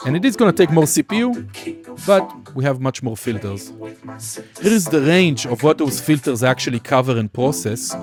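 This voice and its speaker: A man teaching music production in a casual software demonstration, explaining informally and somewhat hesitantly step by step. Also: mid-range voice, lively intonation, normal speed. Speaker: music production tutorial instructor.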